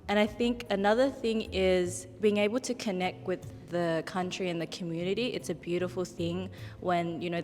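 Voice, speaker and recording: A woman, a panel guest, speaking earnestly in a conversational discussion and starting her thoughts with "um". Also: fairly low pitch. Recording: long reverb, faint background music.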